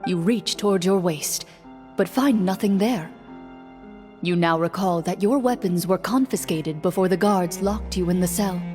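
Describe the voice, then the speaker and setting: An actress playing a composed, serious young game master who speaks formally, in a measured and deadpan way. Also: female voice, on the low side, natural voice. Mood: solemn narration. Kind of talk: scripted game voice acting, narrating a tabletop game. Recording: echoey hall reverb, music behind.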